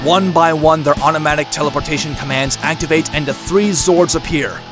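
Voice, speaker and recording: A man's voice: on the high side, fairly even intonation, fairly quick. A man reading scripted action narration for an audio drama. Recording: loud music bed. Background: loud music.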